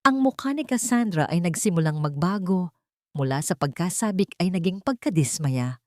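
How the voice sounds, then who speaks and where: A woman storyteller reading a scripted romantic drama with deliberate, dramatic phrasing. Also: lowish voice, animated, darkish tone, dry recording, clean studio sound.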